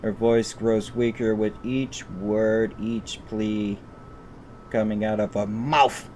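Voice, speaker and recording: A man's voice: steady tone, slow pace, darkish tone. A man streaming a visual novel, reading it aloud with profane, exasperated asides and stumbling over words. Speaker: gaming streamer. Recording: rumbly background noise.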